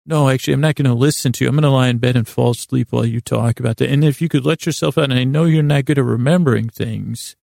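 Man as a creaky, fussy, sleepless neighbour. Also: mid-range voice, fast, few pauses, darkish tone, dry recording, clean studio sound, lightly acted. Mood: insistent. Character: uncomfortable, fussy sleepless person bossing a neighbour around.

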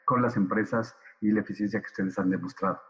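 Low-voiced, halting man, muffled audio. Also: steady tone. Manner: halting, formal and polite. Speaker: interview co-host. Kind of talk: interview questioning.

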